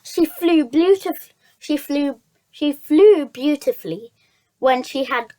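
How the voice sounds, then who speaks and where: Storybook reader, high pitch, very unhurried, halting delivery with repeated words. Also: lively intonation, dark tone. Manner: halting, stumbles over words and repeats phrases. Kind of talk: children's story read aloud.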